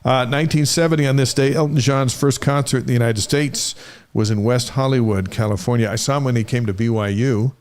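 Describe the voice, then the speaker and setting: A man's voice: mid-range voice, steady tone, fairly quick, a little mellow. A middle-aged man co-hosting a sports talk show in casual banter, reading out short items and telling stories in a chatty way.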